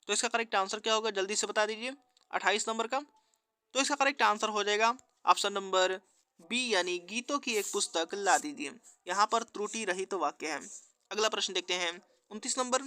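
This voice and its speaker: A man's voice: sits high, sharp tone, no room sound. A man working through exam practice questions as a teacher, with an instructional, formulaic question-and-answer delivery. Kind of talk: scripted quiz walkthrough lesson.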